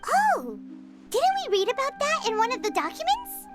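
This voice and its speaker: Tiny, very shrill fairy sidekick. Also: female voice, huge pitch swings, moderate pace, full character voice. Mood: cheerful. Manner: speaks of self in third person, childlike. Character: small chirpy fairy companion, girlish, eager to help. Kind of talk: video game voice acting. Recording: loud music behind the voice.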